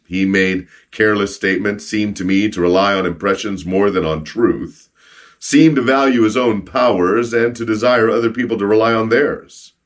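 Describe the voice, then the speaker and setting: A man with a rough, froggy voice from a cold hosts a podcast, chatting casually with joking asides and reading stories aloud. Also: fairly low pitch. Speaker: podcast host and street evangelist.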